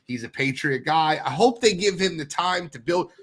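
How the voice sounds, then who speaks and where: A man co-hosts a casual football podcast and sounds congested from a sinus infection. He speaks bluntly, swears now and then, and ribs the others. His voice sits a little high for a man. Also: expressive, moderate pace, fairly bright tone. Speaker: podcast co-host. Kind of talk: casual sports podcast chat.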